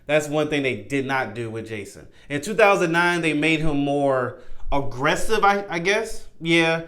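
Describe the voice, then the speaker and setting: A man's voice: a little high, lively intonation, a little slow, a bit bright. Laid-back man reacting. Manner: laid-back, rambling, conversational. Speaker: reaction video host. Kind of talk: casual reaction commentary.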